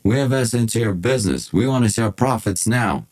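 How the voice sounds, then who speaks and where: A man's voice: expressive, brisk, a little mellow, close to an everyday voice. A man voicing a menacing young thug, demanding and insistent, in a dramatized personal story. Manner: low, demanding. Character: menacing young male thug demanding his money back.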